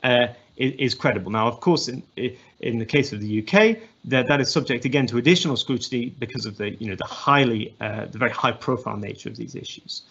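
A man's voice: medium pitch, quick pace, hardly any pauses, fairly bright tone, breathy edge, muffled recording. A man in his thirties with an English accent, giving an academic presentation over a video call, thoughtful, with frequent restarts and fillers. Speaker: webinar speaker.

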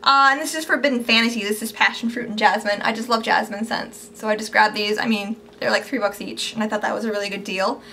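A young woman chatting casually and gushing over her purchases in a haul video, her voice a little squeaky from overuse. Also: steady tone, fast, hardly any pauses, bright, forceful tone, a little hiss or hum. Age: young adult. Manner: chatty, upbeat, gushing about products. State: losing her voice, slightly squeaky. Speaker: beauty vlogger. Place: small indoor room at home.

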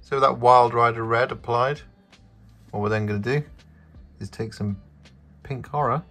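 A man's voice: mid-range voice, fairly even intonation, normal speed, fairly bright tone. A man giving an instructional voice-over as the host of a painting tutorial. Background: background music.

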